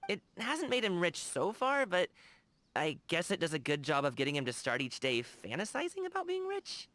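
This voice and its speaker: A man's voice: a little high, sharp tone. An actor playing a cheerful, earnest young man in a video game, chatting in a casual, slangy way. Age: young adult. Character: cheerful, earnest young male lion-dancer and tea lover. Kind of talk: animated video game character dialogue.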